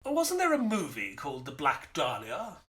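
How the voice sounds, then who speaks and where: An actor playing an earnest, inquisitive gentleman doctor who narrates a detective audio drama. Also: fairly high pitch, wildly swooping pitch, normal speed. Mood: narrating.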